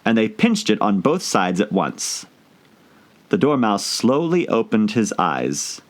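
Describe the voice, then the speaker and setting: A man's voice: lowish voice, big pitch swings, takes it slowly. A man reading a storybook aloud as scripted audiobook narration.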